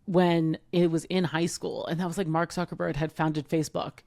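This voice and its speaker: A woman in her thirties talks casually on a podcast with a low, gravelly voice. She rambles and leans heavily on "like", and the sound is dry and close. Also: level tone, moderate pace, a little mellow, dry recording. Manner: rambling, heavy use of "like". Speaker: podcast co-host. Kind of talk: casual chat.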